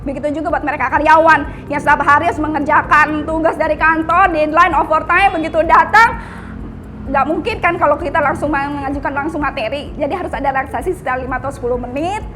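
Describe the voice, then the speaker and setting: A woman's voice: sits high, fast, pushed and bright, a little hiss or hum. A woman teacher casually describing her classes in an interview, with fillers and restarts.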